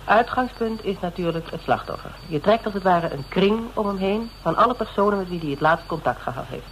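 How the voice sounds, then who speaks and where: Methodical, higher-voiced detective, hissy radio drama. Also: male voice, lively intonation. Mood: measured, insistent. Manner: measured, explanatory, quietly probing. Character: persistent male private detective questioning a suspect, methodical and polite. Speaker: actor. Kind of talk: Dutch radio drama dialogue. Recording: noisy old radio drama recording.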